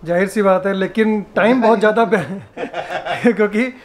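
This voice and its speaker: A man's voice: high voice, moderate pace. A man from Uttar Pradesh, around his thirties, chatting casually and animatedly to a handheld camera as a vlogger in a hotel room. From Uttar Pradesh, India. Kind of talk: casual vlog chat. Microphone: camera held at arm's length. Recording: some background room noise.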